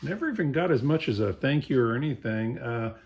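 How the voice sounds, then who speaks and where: Middle-aged man, low voice, tired, rambling small-business sales talk. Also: expressive, fairly quick, a little mellow. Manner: plain-spoken, rambling, many ums and uhs. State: tired after a long day. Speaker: small airgun parts maker. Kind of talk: casual sales talk to camera.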